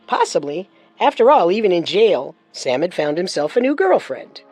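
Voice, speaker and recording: A woman's voice: lowish voice, big pitch swings, moderate pace, darkish tone, breathy edge, faint music behind. A woman co-hosting a podcast, reading scripted true-crime narration with dramatic storytelling and wry touches.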